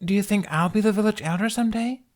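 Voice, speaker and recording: Storybook child, male reader as girl of eight, curious and stubborn, pitched well up. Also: quick pace, lightly acted. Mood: eager, curious. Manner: eager, childlike. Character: curious, stubborn eight-year-old girl, eager grandchild. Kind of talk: audiobook character voice.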